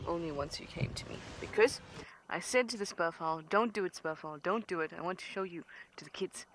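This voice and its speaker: A woman guiding a live game drive, talking quietly and playfully, often close to a whisper, outdoors in the bush. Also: fairly low pitch, fairly quick, slight background noise, low-quality recording. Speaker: safari guide.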